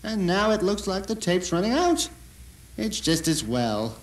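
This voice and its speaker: A man's voice: high voice, sing-song, a little slow, low-quality recording. An actor playing a bitter, deluded blind man who tells his story in a theatrical, self-righteous audio drama monologue. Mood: resigned, aggrieved.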